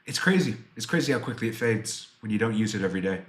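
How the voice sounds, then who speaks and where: A man's voice: on the low side, expressive, quick pace, echoey room. A man in his twenties chatting casually on a livestream as a game developer, answering viewers in a rambling, self-deprecating way. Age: 20s.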